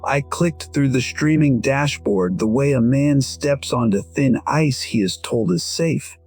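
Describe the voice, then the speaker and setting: A man with a husky voice narrating a scripted first-person story in a measured, reflective manner. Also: mid-range voice, animated, a little mellow, faint music behind.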